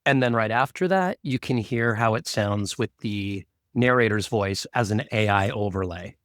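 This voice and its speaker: A man's voice: lowish voice, normal speed, fairly bright tone, dry recording, studio quality. A man co-hosting a podcast in a conversational, explanatory style. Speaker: podcast co-host. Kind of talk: conversational production discussion.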